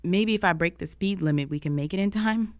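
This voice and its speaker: A woman's voice: lowish voice, brisk, dry recording, lightly acted. A young woman playing a jealous, flustered roommate with a secret crush in an intimate romantic audio roleplay, speaking in a hesitant, conversational way. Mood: sad, resigned. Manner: conversational, hesitant, sighing, emotionally exposed.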